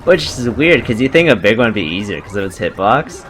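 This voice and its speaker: A man's voice: on the low side, big pitch swings, fairly quick, a bit bright, faint music behind. A laid-back man reacting casually with short interjections as he watches someone play a video game.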